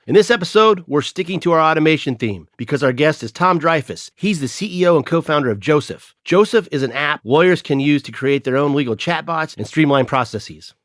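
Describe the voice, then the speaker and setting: A man's voice: fairly high pitch, animated, brisk, few pauses, a bit bright, dry recording, studio quality. A man hosting a podcast, introducing a segment and putting questions to his guest. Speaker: podcast host and interviewer.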